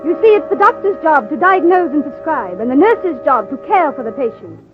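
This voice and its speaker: Brisk nursing teacher, high-pitched, formal, strict, vintage radio play, narrow sound over music. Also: female voice, sing-song. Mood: stern, instructive. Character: brisk, instructive female nursing-school staffer, strict and efficient. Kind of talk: 1940s radio drama acting. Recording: thin phone-like old radio recording, music.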